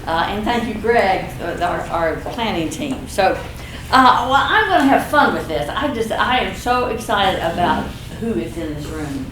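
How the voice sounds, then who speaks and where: An older woman with a deep, slightly husky voice, a community speaker opening her talk in a playful, enthusiastic way. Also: expressive, normal speed, few pauses. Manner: playful, enthusiastic, self-deprecating. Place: event room with audience. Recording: echoey room, audible noise.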